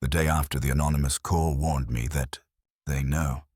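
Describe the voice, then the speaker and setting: A man reading a scripted, dramatic first-person story in a measured, deliberate manner. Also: rumbling low, fairly even intonation, darkish tone, slightly rough, dry recording, studio quality. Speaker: story narrator.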